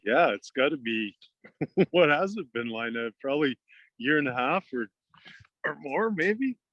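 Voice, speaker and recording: A man's voice: on the high side, expressive, moderate pace, fairly bright tone, no echo, dull audio. A man in casual group chat on a video call, laid-back and chuckling. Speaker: guest.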